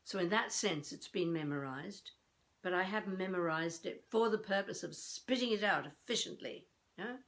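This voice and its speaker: An older woman from Australia teaches off the cuff as a voice coach. Her voice sits a little low for a woman. She sets her phrases apart with deliberate pauses and leans on key words with a theatrical, expressive touch. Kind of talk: improvised instructional talk to camera.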